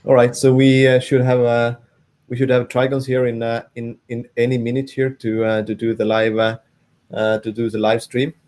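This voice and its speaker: A man organizing an online event, talking over a video call in a halting way, full of "I mean" and "uh". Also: medium pitch, level tone, darkish tone. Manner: halting, heavy "I mean" and "uh" fillers. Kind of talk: casual spoken chat.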